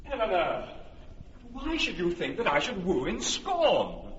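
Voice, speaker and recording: An earnest young lover pleads with a hugely animated, bright voice. His pitch sits a little high. The hall-like reverb and lo-fi sound frame him. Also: measured pace, slight background noise, exaggerated voice. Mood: pleading. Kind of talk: classic radio drama acting.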